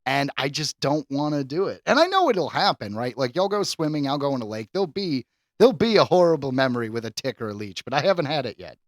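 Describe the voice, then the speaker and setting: A man's voice: medium pitch, sing-song, fast, dry recording, clean studio sound. A man co-hosting a podcast, chatting casually and animatedly, laughing often. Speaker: podcast co-host.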